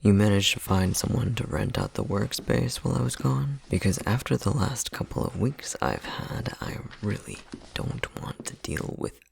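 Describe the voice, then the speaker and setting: A man's voice: lowish voice, expressive, moderate pace, creaky, hardly any pauses, a little hiss or hum. Man doing a weary Icelandic-accented studio boss. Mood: weary, exasperated. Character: weary, sardonic male tattoo studio boss. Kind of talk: ASMR roleplay acting.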